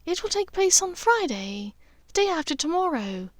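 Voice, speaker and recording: A woman's voice: very high-pitched, big pitch swings, moderate pace, dry recording, voice pushed up. A woman playing an earnest, decisive young witch sweetheart, speaking emphatically.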